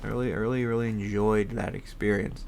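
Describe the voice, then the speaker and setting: A man's voice: on the low side, little pitch movement, fast, gravelly, darkish tone, slight background noise. Congested young man, rambling and swearing. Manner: rambling, swears freely, laughs often. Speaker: podcast host. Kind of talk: casual rambling monologue.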